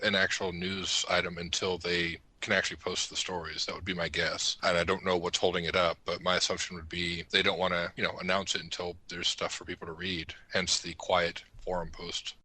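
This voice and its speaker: A man's voice: sits low, little pitch movement, fairly quick, few pauses, bright, forceful tone, rough recording. A man talking casually on a podcast panel. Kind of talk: casual discussion.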